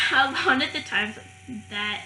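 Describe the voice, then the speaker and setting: A woman's voice: high-pitched, slow pace, sharp tone, faint music behind. A young woman whose first language is French talks casually to her channel viewers, rambling with lots of fillers and restarts. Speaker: vlogger. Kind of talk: casual talking-head vlog. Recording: echoey room.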